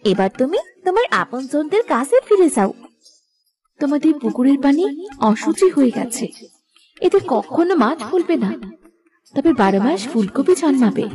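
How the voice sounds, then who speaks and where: A woman's voice: high voice, darkish tone. A woman voicing a kind, benevolent female pond spirit in a cartoon fairy tale, soothing and reassuring.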